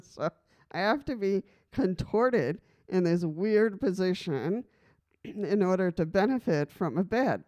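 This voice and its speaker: A woman's voice: fairly low pitch, expressive, slow pace, a little mellow, lo-fi sound. A middle-aged woman from Costa Rica talking casually to camera in a personal vlog, chatty and rambling, with many fillers and frequent laughs. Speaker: vlogger.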